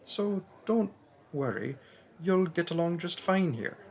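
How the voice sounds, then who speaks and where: A man's voice: high-pitched, animated, takes it slowly, exaggerated voice. A man voicing a friendly, chatty young mage student in a roleplay audio drama, speaking casually and a little hesitantly, straight to the listener. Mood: reassuring. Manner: casual, rambling, hesitant, jokey.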